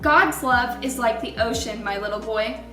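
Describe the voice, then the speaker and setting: A woman voicing a gentle, loving storybook mother who reassures her little boy tenderly. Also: fairly high pitch, moderate pace, reverberant, far from the mic, faint music behind, pitched below the performer's own voice.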